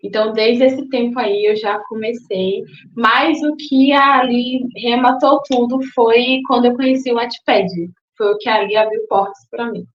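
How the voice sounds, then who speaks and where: A woman's voice: fairly high pitch, steady tone, measured pace. Young woman from Salvador, thoughtful guest. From Salvador, Bahia, Brazil. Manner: thoughtful, with hesitations and restarts. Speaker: guest, book influencer. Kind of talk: casual interview answers. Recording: echoey, dull lo-fi recording.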